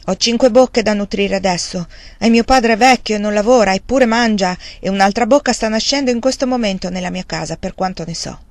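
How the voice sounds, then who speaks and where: A woman's voice: mid-range voice, a little fast, hardly any pauses, dry recording, studio quality, close to an everyday voice. A woman voicing a young farmer man who speaks curtly and bluntly. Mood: angry. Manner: curt and blunt. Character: hardworking young farmer, man, proud and stubborn.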